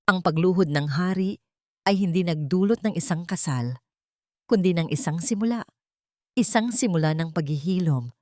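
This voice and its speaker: Female Filipino audiobook narrator for scripted drama, lower register, close dry sound. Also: a little mellow, dry recording.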